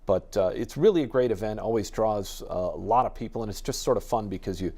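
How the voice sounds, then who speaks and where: A man's voice: fairly low pitch, quick pace, a little mellow. An American man talking casually as an interview guest from a radio station.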